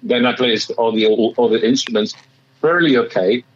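Raspy, excitable male musician. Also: medium pitch, normal speed, lo-fi sound. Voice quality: slightly raspy voice. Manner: excitable, rambling with many restarts. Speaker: musician guest. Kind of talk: casual interview chat.

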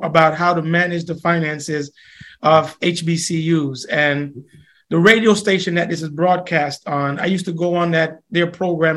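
A middle-aged man with a Jamaican accent hosting a podcast interview, chatty and quick to laugh. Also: on the high side. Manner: warm, laughs often, repeats phrases. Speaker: podcast host. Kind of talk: casual interview chat.

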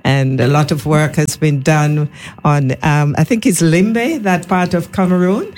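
A woman hosting a radio panel in the studio, unhurried and full of fillers. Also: low voice, a little mellow. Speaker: radio host.

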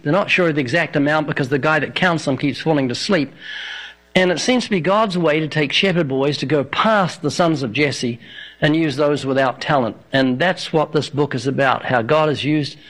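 A man's voice: a little high, low-quality recording. An older man from New Zealand with a slight New Zealand accent, a guest on a radio show, telling stories with dry, self-deprecating humor. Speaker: evangelist and author, radio guest. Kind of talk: interview guest.

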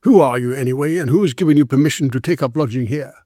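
A stern storybook constable, acted by a male narrator, gruff and authoritative. His speech is commanding and blustering, that of a lawman with a soft heart under it, recorded dry and close. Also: medium pitch, fast, dry recording, natural voice. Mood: gruff, scolding. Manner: commanding, scolding, growling.

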